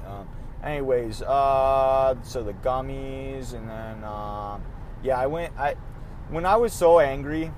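Young male host, raspy and worn out, rambling casual talk from a moving car, mid-pitched. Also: low-quality recording. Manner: rambling, animated, self-interrupting. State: tired. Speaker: podcast host. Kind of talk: casual solo podcast while driving. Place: in a car, driving outdoors. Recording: faint road noise in a car. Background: car and street noise.

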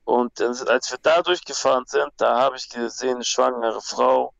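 A man's voice: medium pitch, fairly even intonation, moderate pace, vocal fry, a bit bright, no room sound, lo-fi sound. A young man from Peru, a guest talking casually and bluntly, with slang and many restarts. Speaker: guest, MMA fighter. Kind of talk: casual conversation.

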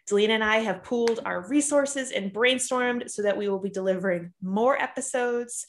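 A woman's voice: a little high, fairly bright tone. A woman from Boston co-hosting a podcast as a dietitian. She chats in an upbeat, eager way and pokes fun at herself. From Boston, USA. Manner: upbeat, eager, self-deprecating. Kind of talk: casual podcast chat.

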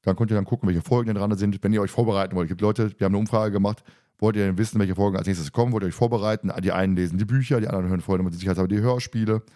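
Male podcast host, lowish pitch, rapid delivery, talkative, joking, dry sound. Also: steady tone, mellow, no room sound. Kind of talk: casual podcast chat and announcements.

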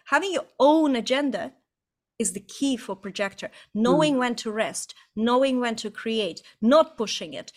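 A woman from Estonia co-hosting a podcast, telling stories in a relaxed, reflective casual chat. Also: fairly high pitch. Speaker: podcast co-host.

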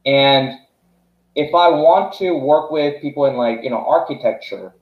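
Halting young founder from South Korea. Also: male voice, on the high side, roomy sound, phone-quality sound. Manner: halting, many restarts and fillers. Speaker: startup founder, podcast guest. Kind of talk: casual podcast interview.

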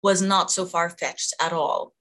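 A middle-aged woman born in Jamaica, a physician being interviewed, talking thoughtfully with many pauses and fillers. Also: lowish voice, steady tone, a bit bright. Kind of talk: conversational interview.

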